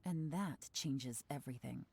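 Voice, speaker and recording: A woman voicing a sharp-minded female investigator in a video game, calm and measured as she reasons things through. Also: rumbling low, creaky, slightly rough, heavily acted. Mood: reasoning. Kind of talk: game voice acting. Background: game music.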